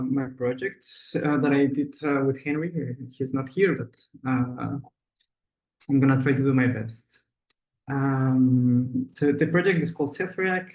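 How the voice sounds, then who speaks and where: A man's voice: mid-range voice, darkish tone, echoey room, poor audio. Male presenter, mid-range voice, student project delivery. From Ecuador. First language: Spanish. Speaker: student presenter, product designer. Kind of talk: project presentation, moving into scripted narration.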